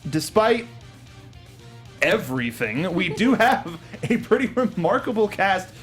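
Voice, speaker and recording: A man hosting a casual movie review chat, talking in a halting, wry way over music. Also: high voice, big pitch swings, fairly bright tone. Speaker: panel show host.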